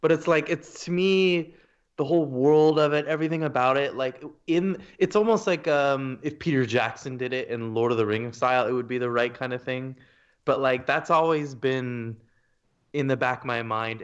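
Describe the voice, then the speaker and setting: Smooth-voiced man, slightly muffled recording. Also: medium pitch. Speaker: podcast co-host. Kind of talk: casual podcast discussion.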